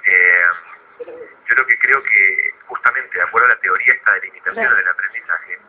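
Argentine guy on a radio phone-in explaining stuff like a psychologist, kinda rough husky voice, really tinny line. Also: on the low side. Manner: halting, didactic, with repeated words. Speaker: guest expert, psychologist.

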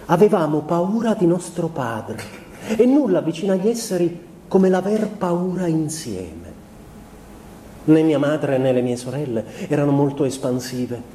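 A male actor performs a melancholy, sensitive man in a literary stage monologue. His voice is high for a man yet mellow, with measured, introspective phrasing and deliberate pauses. The sound is roomy and echoing. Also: lively intonation, a little hiss or hum. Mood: wistful, confiding. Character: melancholy, sensitive man recalling his austere aristocratic childhood.

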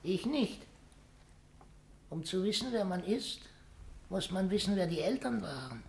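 A proud little dwarf acrobat in a vintage radio play. The voice is creaky and leaps up and down, sardonic and world-weary, moving between hushed and sharp. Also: medium pitch, lively intonation, a little slow. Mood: matter-of-fact, wry. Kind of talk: 1950s German radio play acting.

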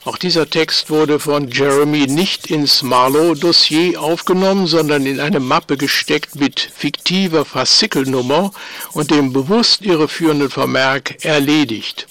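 An actor playing a matter-of-fact archivist narrator in a radio drama, presenting documents in a precise, factual manner. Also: male voice, on the high side, a little slow, bright, forceful tone, no echo. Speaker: announcer of documents.

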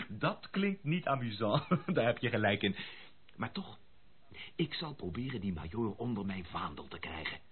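Through a thin, phone-like old radio recording comes an eager young gentleman bachelor. His delivery is theatrical and very lively, swinging widely in pitch. The voice is slightly husky and the phrasing genteel and exclamatory. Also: measured pace, full character voice. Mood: amused, determined. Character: eager young gentleman bachelor, excitable, amused, curious about his bride. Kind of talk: Dutch radio play acting.

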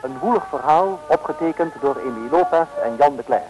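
A man's voice: on the high side, lively intonation, brisk, low-quality recording. A man reading closing credits in a formal vintage radio announcer style. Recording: old radio recording, music behind.

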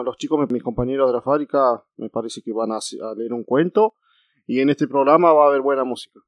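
An Argentine man co-presents a radio show conversationally. His voice sits at an average pitch, with very little room sound around it. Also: no echo. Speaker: radio co-host.

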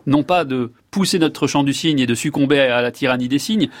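A man's voice: medium pitch, brisk, no room sound. A man in his fifties, a neurologist guest, giving long reflective answers with many fillers and restarts. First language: French.

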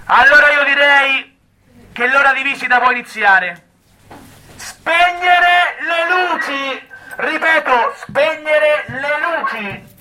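A man acts out a bullying prison guard in a theatre piece, very high and piercing in voice. He drags out his barked, sarcastic orders, foul-mouthed and bossy, with faint music behind. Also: slow pace, bright, forceful tone, a little hiss or hum, heavily acted, low-quality recording. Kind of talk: immersive stage acting.

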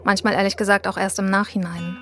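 A woman reporter giving scripted documentary narration in a calm, even, storytelling way. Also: medium pitch, fast, soft background music. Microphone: studio mic.

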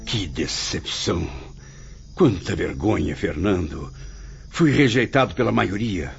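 A man's voice: deep voice, animated, a little slow, vocal fry, slight background noise, exaggerated voice. A man playing the melancholy, proud spirit of a dead writer in a radio soap, with theatrical, literary lamenting. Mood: dejected, wounded. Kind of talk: dramatic radio soap acting. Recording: muffled radio drama with faint music.

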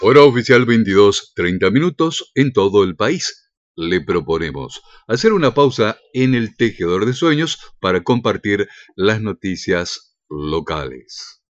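A man's voice: on the low side, sing-song, a little slow, dry recording, faint music behind. Argentine guy reading the news on radio, scripted bulletin, dry room, lofi dull audio. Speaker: radio newsreader.

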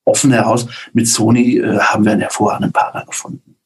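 A man's voice: lowish voice, level tone, quick pace, husky, poor audio. A man who works as an author, answering questions casually as an interview guest.

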